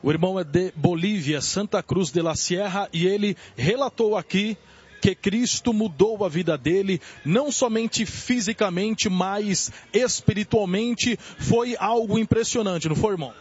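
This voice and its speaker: A man with a Brazilian accent and a husky voice, reporting live for evangelical radio in a declamatory way. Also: sits high, unhurried, a little mellow, muffled recording. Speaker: church radio reporter and elder.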